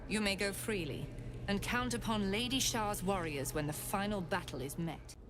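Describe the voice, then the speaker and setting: A woman's voice: medium pitch, normal speed, music in the background, lightly acted. A woman playing an imperious, calculating cult commander in a video game, with a haughty, formal and threatening manner. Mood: haughty bargaining. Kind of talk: dramatic video game acting.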